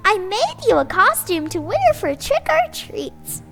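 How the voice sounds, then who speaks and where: A woman's voice: extremely high pitch, huge pitch swings, a little slow, gravelly, over music, pitched above the performer's own voice, full character voice. A woman voicing a doting mother dog who coos fondly at her son. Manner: cooing, affectionate.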